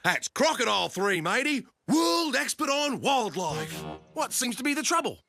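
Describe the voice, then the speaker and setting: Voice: mid-range voice, big pitch swings, moderate pace, gravelly, sharp tone, voice pushed up, full character voice. Performer doing a brash outback wildlife expert. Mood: hearty. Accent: put-on Australian. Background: music.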